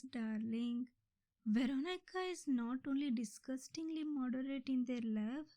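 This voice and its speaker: Woman acting a high-pitched, indignant, obsessive yandere sister. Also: a little mellow, no room sound, close to an everyday voice. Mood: mocking. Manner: melodramatic, exasperated, rambling at the listener. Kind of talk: ASMR comedy audio roleplay.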